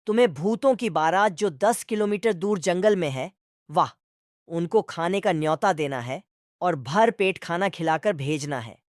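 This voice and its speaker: A woman's voice: fairly low pitch, animated, measured pace, no room sound. Dry-sounding, commanding deity voiced by a woman. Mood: solemn, commanding. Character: benevolent commanding god granting boons. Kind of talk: cartoon voice acting.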